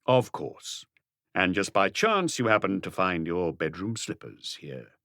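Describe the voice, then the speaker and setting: Male reader playing police inspector, low voice, calm, ironic, probing, unhurried, dry detective audiobook recording. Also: no room sound, exaggerated voice.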